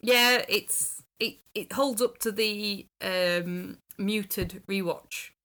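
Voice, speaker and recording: A woman's voice: medium pitch, drawn-out words, a bit bright. A woman in casual conversation as a podcast panellist, with an emphatic way of talking. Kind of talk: casual chat.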